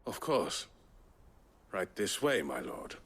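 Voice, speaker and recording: An actor voicing a shady, oily soldier in a video game, sly and mock-deferential. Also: male voice, low-pitched, sing-song, slow, a bit bright, husky, full character voice. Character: shady soldier dealing in stolen crystals, oily.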